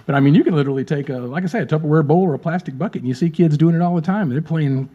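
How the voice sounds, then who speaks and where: Man in his fifties with a mellow, husky voice. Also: a little high, very fast, dull audio. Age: 50s. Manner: friendly, rambling, repeats words. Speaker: drummer, guest. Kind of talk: casual interview chat.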